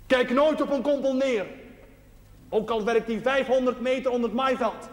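An actor playing a plain-spoken, earnest village chaplain, preaching a dramatic sermon in short, deliberate phrases. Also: male voice, extremely high pitch, fairly even intonation. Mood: fervent. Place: large reverberant interior. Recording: echoey church hall, noisy old TV audio.

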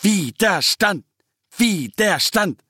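A man voicing an angry far-right singer who rallies a crowd with forceful, agitating slogans, as quoted in a literary reading. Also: sits high, animated, very slow, breathy edge, no echo, clean studio sound, pitched above the performer's own voice, heavily acted. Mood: shouting, defiant.

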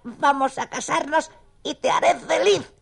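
A woman's voice: high voice, measured pace, gravelly, full character voice. A woman voicing a sweet, eager, bashful male mouse with a hesitant, gushing delivery in a children's audio story. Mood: bashful, smitten.

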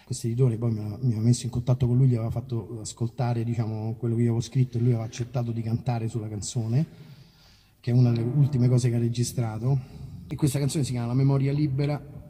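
A man's voice: medium pitch, little pitch movement, quick pace, dark tone, long reverb tail. A man with a Roman Italian accent chatting casually on stage as a singer-songwriter, informal, rambling and self-deprecating as he tells stories. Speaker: singer-songwriter talking between songs at a concert. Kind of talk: casual onstage storytelling.

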